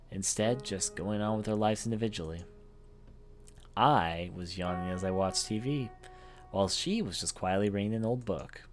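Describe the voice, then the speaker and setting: A man reading a visual novel aloud as a let's play host, narrating with his own off-the-cuff commentary, game music behind him. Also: low voice.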